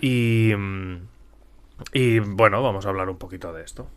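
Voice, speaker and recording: A man's voice: lowish voice, normal speed, clear, resonant tone. A man from Spain with a slightly stuffy, congested nose, chatting casually as a podcast co-host. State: mild head cold. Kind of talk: casual conversational podcast chat.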